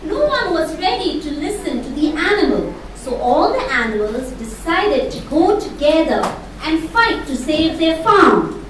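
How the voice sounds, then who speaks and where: High-pitched Indian woman in an echoey hall. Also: very animated, a little slow. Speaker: puppet show narrator and host. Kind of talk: storytelling to children at a school show. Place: large echoey room at a school event. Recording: echoey noisy hall, distant mic.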